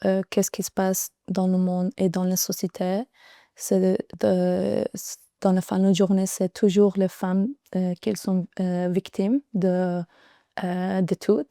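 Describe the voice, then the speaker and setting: A young woman in her twenties from Iran, a guest telling her story in an interview, speaking earnestly with many pauses and hesitations. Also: fairly low pitch, level tone, mellow, dry recording, studio quality. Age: 20s. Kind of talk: personal interview in French and English.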